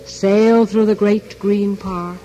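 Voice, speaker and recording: A man's voice: high voice, level tone, takes it slowly, loud music behind the voice, poor audio. An actor playing a sly psychic shopkeeper in a radio drama, insinuating and theatrical as he bargains for souls. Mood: tempting.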